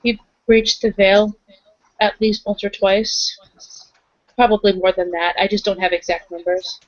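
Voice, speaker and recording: A woman's voice: medium pitch, level tone, a little slow, highs cut off. A woman playing a tabletop roleplaying game, talking casually as she moves between character and narration.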